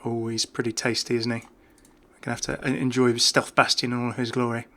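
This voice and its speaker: A man chats his way through casual game commentary with obvious enthusiasm. His voice is bright and forward with plenty of creak at the edges, and he talks off the cuff, keen on every small detail. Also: medium pitch, completely flat delivery, brisk.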